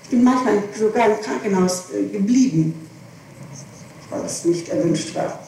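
A woman gives hesitant, evasive testimony, doubling back on her phrases. Her voice is soft-edged and mellow. It comes from a distance on an archival tape, in a very reverberant room with faint noise. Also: medium pitch, normal speed. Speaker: defendant being questioned. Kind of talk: courtroom testimony. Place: large echoing room, distant mic. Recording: echoey, noisy archival tape from far off.

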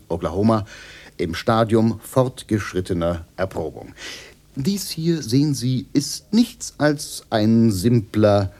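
An eager, animated salesman. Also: on the low side. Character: glib, eager American machine salesman. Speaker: actor in a radio drama. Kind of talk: radio play acting.

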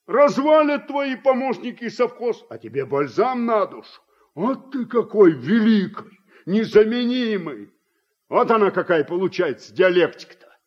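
An actor playing a middle-aged, plain-spoken Soviet farm man with an earthy, deliberate way of talking, in a radio drama. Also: sits high, heavily acted. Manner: earthy colloquial speech, reproachful and deliberate. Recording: dull, muffled old radio recording.

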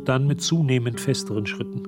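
A man's voice: mid-range voice, fairly quick, loud music behind the voice, close to an everyday voice. A man narrating a radio drama as a composed storyteller, reading scripted prose close to the microphone. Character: composed male storyteller of a mystery tale. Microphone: close, dry studio microphone.

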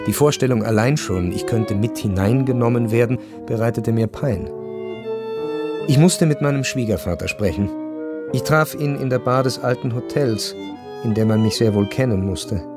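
A man plays an estranged husband back from war, telling his story in a literary radio drama. His medium-pitched voice is measured and melancholy, with a wry edge. Music with violin is clearly heard behind it. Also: natural voice.

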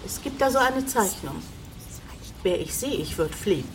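A woman's voice: mid-range voice, expressive, normal speed, noisy background, close to an everyday voice. An actress in a radio drama playing a wary, blunt, superstitious woman who keeps a hotel. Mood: ominous, knowing.

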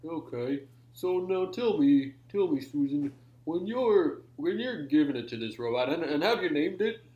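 A man's voice: a little high, expressive, normal speed, mellow, roomy sound, low-quality recording. A man co-hosting a comedy show, playing the straight man with mock-serious questions during improvised banter. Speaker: podcast co-host.

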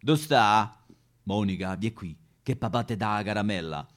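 A man playing a dim, gruff, bored football-mad husband with a Roman accent in a satirical stage sketch. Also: on the low side, normal speed, lightly acted. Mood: slow, oblivious.

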